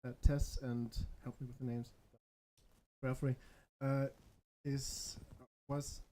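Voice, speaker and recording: A man who composes music, talking casually on a livestream and haltingly searching for his words. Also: medium pitch, little pitch movement, mellow, slight background noise.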